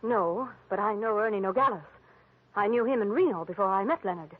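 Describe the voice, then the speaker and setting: An actress playing a chatty, evasive American wife hiring a detective in a 1940s radio drama, coy and a little faltering. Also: female voice, medium pitch, lively intonation, fairly quick, lightly acted. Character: chatty, evasive married woman, detective's client. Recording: thin, narrow old radio recording.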